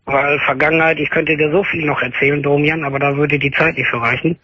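A man's voice: on the high side, flat delivery, fast, no echo. A man calling a radio show, earnestly and ramblingly telling about his troubled relationship. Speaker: caller. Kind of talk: emotional phone confession. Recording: dull phone-in radio sound.

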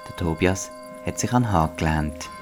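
Deep-voiced Swiss German male narrator. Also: brisk, slight background noise. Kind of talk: scripted children's story narration.

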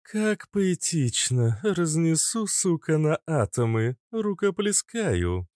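A man's voice: mid-range voice, wildly swooping pitch, very slow, darkish tone, husky, dry recording, studio quality, voice pushed up, exaggerated voice. A man voicing a sardonic, menacing female werewolf who drawls and growls in a narrated story.

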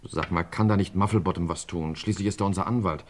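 Young gentleman nephew, radio mystery: low-pitched, self-important, smoothly polite, slippery. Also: fairly even intonation, fast. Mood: polite, evasive. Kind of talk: German radio crime drama acting.

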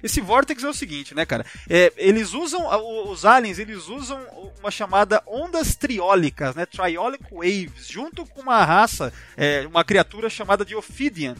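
A Brazilian man with a bright, forceful voice chats as a podcast host. His pitch swings widely as he rambles through opinions in loose slang. Faint music sits behind him. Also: sing-song, moderate pace, few pauses. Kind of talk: casual chat.

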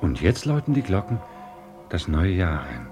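Casting: merry young groom, swinging intonation, chuckling mock respect, vintage radio drama. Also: male voice, low-pitched, wildly swooping pitch, moderate pace, music in the background. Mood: teasing, merry. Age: young adult. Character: jovial, teasing young bridegroom. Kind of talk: 1950s German radio play acting.

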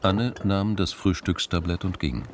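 A man's voice: sits low, measured pace, slight background noise. A man narrating a crime radio drama in a scripted, literary style, with music behind him.

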